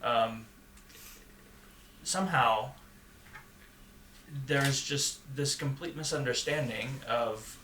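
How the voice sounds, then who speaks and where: In an echoey room with faint noise behind him, a man talks through his ideas as a podcast panelist. He has a mid-range voice and picks his phrases in a halting, measured way. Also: medium pitch, bright, forceful tone. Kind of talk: conversational discussion.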